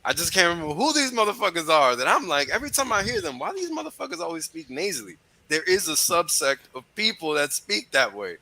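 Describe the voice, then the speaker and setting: A man's voice: on the high side, big pitch swings, fast talker, creaky, few pauses, sharp tone, low-quality recording. A man in a casual chat, teasing and swearing freely.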